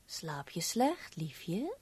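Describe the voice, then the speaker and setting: Breathy, brazen seductive henchwoman. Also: fairly low pitch, very animated, very slow, a little mellow, full character voice. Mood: flirty teasing.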